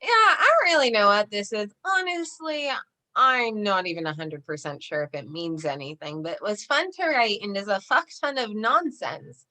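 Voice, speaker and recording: A woman's voice: mid-range voice, expressive, moderate pace, sharp tone. A woman poet talking casually and informally at a poetry gathering, with some swearing. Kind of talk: casual chat.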